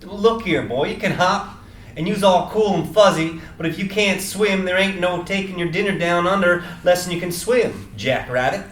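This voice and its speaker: A man's voice: high-pitched, normal speed, fairly bright tone, a little hiss or hum. A man playing a brash, teasing cartoonish beaver who talks in cool, slangy jive. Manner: slangy, folksy street-talk delivery. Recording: echoey room, far from the mic.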